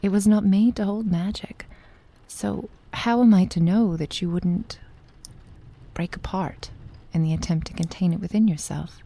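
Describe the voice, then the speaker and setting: A woman playing an ancient, loving, possessive witch in an ASMR roleplay, speaking softly and formally with deliberate pauses, often slipping into a whisper. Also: medium pitch. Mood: protective, serious. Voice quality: soft, breathy, often dropping to a whisper. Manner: slow, formal archaic phrasing, deliberate pauses. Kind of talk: ASMR roleplay, soft intimate acting.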